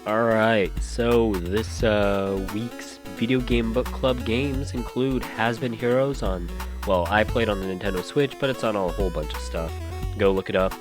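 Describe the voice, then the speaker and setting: A man's voice: lowish voice, a little slow, over music. Stuffy-nosed man rambling on a podcast. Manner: rambling, trails off and restarts, drops to mutters. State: congested from a head cold, nasal. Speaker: podcast host. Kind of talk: casual solo podcast rambling.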